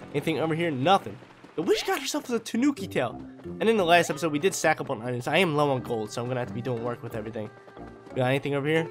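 Hyped young man, slightly hoarse. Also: on the high side. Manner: hyped, chatty, slangy. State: slightly hoarse from a sore throat. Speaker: gaming YouTuber. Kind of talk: let's play commentary. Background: faint game music.

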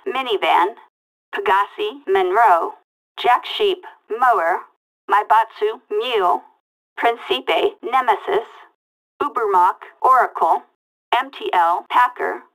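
A woman's voice: medium pitch, a bit bright, poor audio. An actress playing a female police dispatcher in a video game, reading out short callouts in a clipped, detached way through a police radio filter. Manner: clipped, detached, word-by-word delivery. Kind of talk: reading out vehicle makes and models as scanner callouts.

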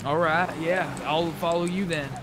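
Higher-voiced man chatting in a roleplay game. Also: steady tone, moderate pace, background noise. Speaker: roleplay gamer. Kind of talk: casual in-character game chat.

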